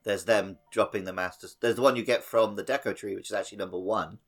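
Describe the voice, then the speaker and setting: A man's voice: lowish voice, steady tone, fast talker, soft background music. A man co-hosting a podcast, explaining things informally in casual video game chat. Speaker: podcast co-host.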